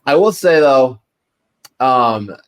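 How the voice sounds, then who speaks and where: A man's voice: mid-range voice, expressive, slow pace. A man in his thirties talking casually as a podcast guest, rambling with many fillers. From United States, partly raised in Pakistan. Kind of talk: casual chat.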